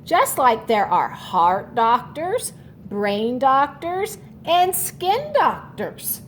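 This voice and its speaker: A woman's voice: sits high, very animated, extremely slow, slight background noise, exaggerated voice. A woman voicing a friendly male bone doctor in a children's storybook, patiently questioning in a sing-song way. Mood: explaining.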